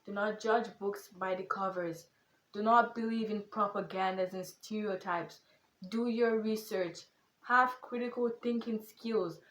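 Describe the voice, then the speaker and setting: A woman's voice: mid-range voice, measured pace, reverberant, low-quality recording. Earnest teenage girl with a Nigerian accent. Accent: Nigerian, with some British and American. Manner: earnest, animated and emphatic. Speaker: content creator. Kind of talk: casual vlog monologue.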